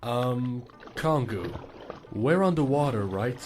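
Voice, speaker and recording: A man's voice: medium pitch, expressive, slow pace, a little mellow, faint music behind. A man voicing a hesitant, puzzled young heroic warrior in fan dub dialogue, with a questioning manner. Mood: puzzled, uneasy.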